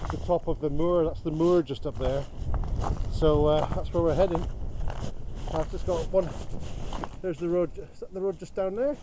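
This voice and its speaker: A man in his forties chatting casually and in a rambling, self-deprecating way as he walks outdoors, filming himself on a hike. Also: high voice. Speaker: hiking vlogger. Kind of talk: casual walking chat to camera. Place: outdoors, frosty open hillside fields. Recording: loud wind noise on the microphone. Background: loud wind.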